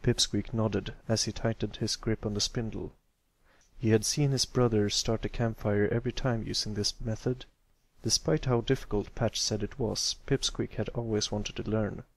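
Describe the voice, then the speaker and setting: A man's voice: lowish voice, steady tone, normal speed, gravelly. A man giving a scripted narration of a fan fiction story as an amateur reader.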